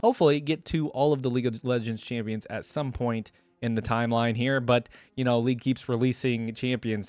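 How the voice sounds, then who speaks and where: A man's voice: medium pitch, no echo. A young adult man giving casual, chatty, enthusiastic commentary as a reaction host, rambling a little into a desk microphone. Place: small indoor room at a gaming desk. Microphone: desk microphone up close.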